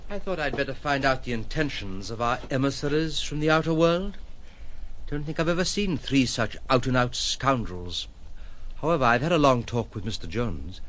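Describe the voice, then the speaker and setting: Drama lead male actor: principled, troubled gentleman, eloquent and theatrical, very lively intonation. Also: mid-range voice, moderate pace, close to an everyday voice. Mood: uneasy, earnest. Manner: articulate, formal, theatrical phrasing. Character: troubled, principled gentleman, articulate, brooding and protective. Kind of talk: audio drama acting.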